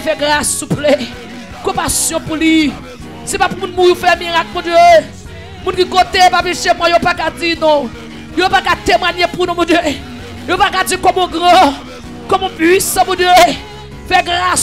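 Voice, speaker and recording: A man leading an impassioned prayer, pleading and repeating phrases, half singing and at times shouting. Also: very high-pitched, steady tone, moderate pace, soft background music. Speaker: prayer leader. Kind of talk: fervent chanted prayer.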